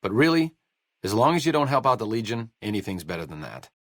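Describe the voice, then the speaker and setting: A man's voice: fairly low pitch, very animated, fast talker, no echo. A man playing a wry, bookish, self-deprecating doctor and researcher in a video game, with dry, erudite understatement. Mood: measured. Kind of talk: video game character dialogue.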